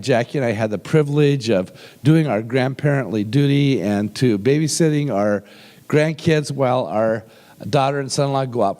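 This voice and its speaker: An older male pastor preaching with a cold that leaves his voice deep and rough. He talks in a folksy, joking way and slows right down for scripture. His voice rings out through a large, echoing church hall. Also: darkish tone. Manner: folksy, humorous, slows down when reading scripture. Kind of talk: sermon. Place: church sanctuary with long reverb. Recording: reverberant hall sound.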